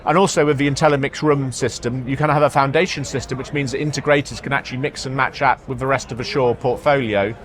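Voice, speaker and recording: Halting mid-pitched man giving an interview. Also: steady tone. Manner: halting, with repeated words and hesitations. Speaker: marketing executive being interviewed. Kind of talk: product interview at a trade show. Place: trade show floor.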